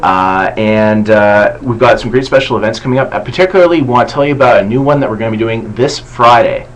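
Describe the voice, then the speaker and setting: A man hosting a casual campaign video chat, relaxed and self-deprecating, with lots of fillers. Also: medium pitch, a little fast, low-quality recording. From Canada. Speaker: host.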